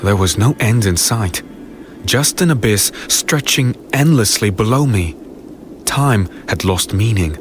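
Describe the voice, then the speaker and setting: Low, grave fantasy hero narrator. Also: male voice, animated, unhurried, a little hiss or hum. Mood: grim. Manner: grave, dramatic first-person narration. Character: earnest young fantasy hero recounting his ordeal. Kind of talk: scripted dark fantasy audio drama narration. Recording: very loud dramatic music behind.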